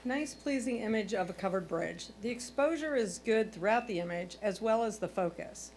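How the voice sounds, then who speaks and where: A medium-pitched woman reads out her prepared critiques as a judge. She is constructive and encouraging. There is an audible hum and background noise on the recording. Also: moderate pace, a little mellow. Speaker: photo competition judge. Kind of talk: reading own prepared critiques.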